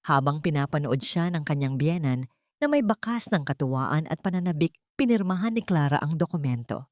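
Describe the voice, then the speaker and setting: A woman's voice: fairly low pitch, darkish tone, dry recording, clean studio sound. A woman reading a scripted, dramatic story as its narrator.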